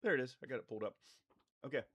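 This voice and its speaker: A man's voice: medium pitch, rapid-fire, slightly rough, dry recording. A middle-aged man with a congested voice from a cold, a family therapist hosting a casual live stream, meandering with plenty of fillers. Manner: relaxed and meandering, many fillers and restarts.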